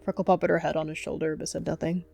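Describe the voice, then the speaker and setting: Low-voiced woman narrating a story. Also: level tone, rapid-fire, gravelly, darkish tone. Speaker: narrator and roleplayer. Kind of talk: scripted story narration, third person. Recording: music behind.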